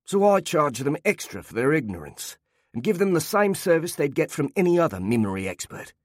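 A man's voice: on the high side, big pitch swings, a little fast, no room sound, studio quality, voice pushed up. A man voicing a sly, worldly old male memory-keeper who speaks with a broad Australian accent and a teasing, jaunty lilt. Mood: mocking.

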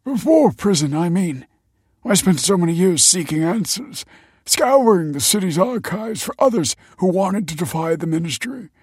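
A man's voice: high-pitched, animated, moderate pace, a little mellow, breathy edge, dry recording, voice pushed up. A male narrator voicing a bitter, anxious man just out of prison, tense in manner. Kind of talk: audiobook character voice.

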